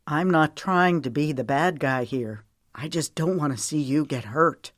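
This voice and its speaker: Woman voicing a protective, low-voiced man, earnestly lecturing. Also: a little slow, dry recording, clean studio sound. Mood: tender. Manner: earnest, lecturing, folksy storytelling. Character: protective, weary man, tender but stern friend.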